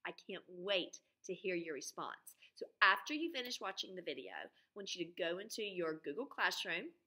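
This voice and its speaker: Bright-voiced woman teacher reading aloud. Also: lowish voice, moderate pace. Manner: animated, emphatic, drawing out key words. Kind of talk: expressive children's read-aloud with questions to pupils.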